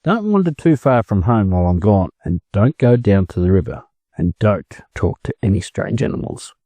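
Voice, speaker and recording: Man reading as a calm, firm mother duck. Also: lowish voice, normal speed, no echo. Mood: gently firm. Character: confident, reassuring female mother duck, gently firm.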